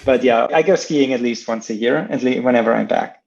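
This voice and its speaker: A man with an Austrian accent and a gravelly, creaky edge to his voice. He is a native German speaker giving casual explanations as an interview guest. The sound is a little dull and lo-fi. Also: medium pitch, fairly quick, darkish tone. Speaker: interview guest, startup co-founder.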